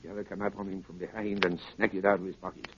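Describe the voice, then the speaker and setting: Cold, low-voiced criminal mastermind. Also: male voice, little pitch movement, a bit bright. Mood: commanding. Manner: deliberate, imperious, menacingly polite. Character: cold commanding male criminal mastermind, giving orders to henchmen. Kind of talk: 1930s radio acting. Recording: noisy, muffled 1930s radio recording with clicks.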